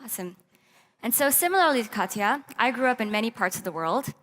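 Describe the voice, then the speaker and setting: A woman's voice: a little high, expressive, fast, a bit bright. A woman from Pakistan giving a prepared conference talk as a presenter on stage in a large hall. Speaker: presenter at a youth tech summit. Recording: echoey hall, long reverb.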